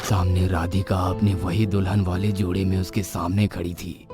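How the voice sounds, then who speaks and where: A man narrating an animated horror story in an expressive, suspenseful way. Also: low-pitched, normal speed. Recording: background music.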